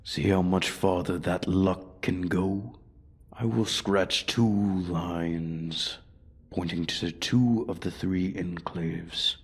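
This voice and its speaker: A man voicing a menacing, condescending dragon who taunts human prey in a fantasy ASMR roleplay, husky and breathy. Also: low voice, steady tone, takes it slowly, heavily acted. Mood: playful taunting.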